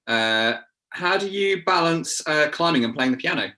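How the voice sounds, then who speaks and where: Bright-voiced British man hosting a Q&A. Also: fairly high pitch, expressive, normal speed. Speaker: co-host reading out viewer questions. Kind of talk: casual live Q&A chat.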